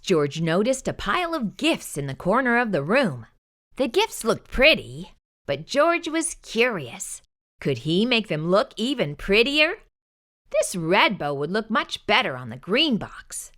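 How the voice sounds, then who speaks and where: A woman hosting a children's storytime, reading a picture book aloud in an expressive, sing-song way. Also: medium pitch, measured pace.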